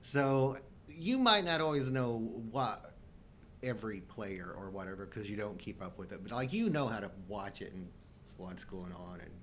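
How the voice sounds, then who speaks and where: A man's voice: fairly low pitch, normal speed, a little hiss or hum. A middle-aged man chatting casually as co-host of a live show. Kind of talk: casual chat.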